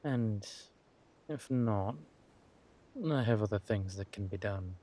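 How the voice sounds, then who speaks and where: A man performs a suave villain king in an intimate audio drama. His voice sits a little low and moves very slowly, with halting pauses and purring hums. He is ruthless, yet teasing and loving toward his wife. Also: dark tone. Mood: confident, scheming.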